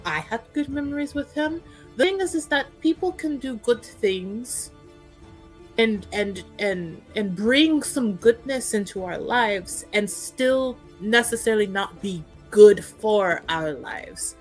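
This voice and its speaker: A woman's voice: fairly high pitch, animated, slow, poor audio. A woman playing a tabletop roleplaying game, improvising dialogue and actions in a casual, hesitant way over background music. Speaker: tabletop RPG player. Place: online streamed game session.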